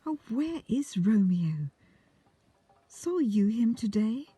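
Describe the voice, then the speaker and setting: A woman's voice: fairly high pitch, sing-song, slow pace, dark tone, breathy edge, no echo, heavily acted. A woman playing an anxious noble mother in a Shakespearean audio drama.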